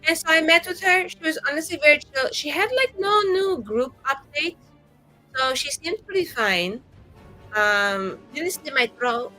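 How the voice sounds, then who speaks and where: A woman's voice: sits high, animated, measured pace, sharp tone, soft background music, exaggerated voice, poor audio. A woman playing a chatty, earnest fantasy adventurer in improvised tabletop banter, rambling as she gossips. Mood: casual. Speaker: tabletop roleplay player.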